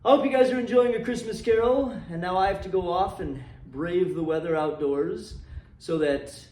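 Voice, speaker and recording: A man with a fairly high voice reads a story aloud. His delivery is dramatic and expressive, with measured phrasing. He is some distance from the microphone, and the room echoes clearly around him. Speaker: library volunteer reader and host. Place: indoor room decorated for Christmas, seated. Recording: roomy echo, distant microphone.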